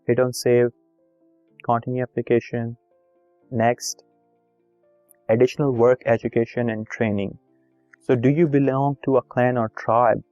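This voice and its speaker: A man whose first language is Urdu, calmly walking viewers through an online form step by step and reading each field aloud. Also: medium pitch, a little slow, long pauses, dry recording, highs cut off, soft background music. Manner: plain instructive, reads form fields aloud, repetitive. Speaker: video tutorial host. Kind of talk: screen-recorded step-by-step walkthrough.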